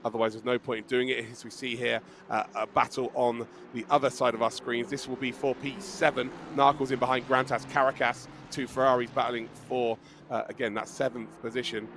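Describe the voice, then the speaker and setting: A man commentating a live sim race with a croaky, strained voice, excitable and joking. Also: mid-range voice, fairly quick, a little hiss or hum. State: hoarse, losing his voice.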